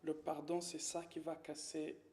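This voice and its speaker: A man from Rwanda, giving a halting, hesitant personal testimony full of pauses and fillers. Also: a little high, flat delivery, warm tone. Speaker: witness.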